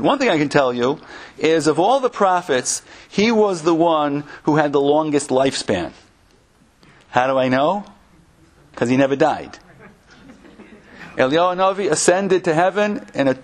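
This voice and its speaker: Higher-voiced American man, halting religious talk. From Connecticut, United States. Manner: conversational, halting, with humorous asides. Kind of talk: informal religious lecture with anecdotes.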